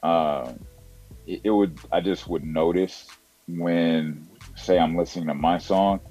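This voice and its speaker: A man with a very deep voice, talking casually as an interview guest about his music, full of "you know" and "like". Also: takes it slowly, mellow. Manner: rambling, filler-heavy with "you know" and "like".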